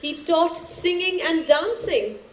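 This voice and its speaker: Stage play: spirited epic narrator, animated pitch, echoey room with faint noise. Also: female voice, slow pace, full character voice. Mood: engaged. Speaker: stage actor in a two-hander play. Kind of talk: theatrical storytelling of epic tales.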